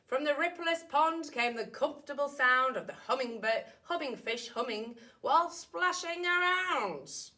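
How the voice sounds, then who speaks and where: A woman voicing a wistful, excitable old recluse telling his tale from a children's storybook, with dramatic drawn-out emphasis. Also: high-pitched, pitched above the performer's own voice. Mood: wistful, dreamy. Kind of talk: children's storybook read aloud.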